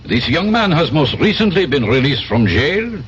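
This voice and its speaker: An actor playing a polite but insistent police inspector in a 1970s radio drama. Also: male voice, fairly high pitch, very animated, moderate pace. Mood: politely firm. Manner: formal, firm. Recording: muffled, noisy old radio recording.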